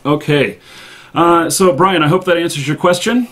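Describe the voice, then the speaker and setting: A man hosting a live stream in an unscripted, chatty way, speaking haltingly with long pauses. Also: a little high, fairly bright tone. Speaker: live show host.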